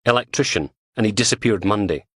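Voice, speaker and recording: A man's voice: lowish voice, fast talker, a bit bright, slightly rough, dry recording, clean studio sound. A man voicing a weary, cynical male detective who grumbles sardonically. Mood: grumbling.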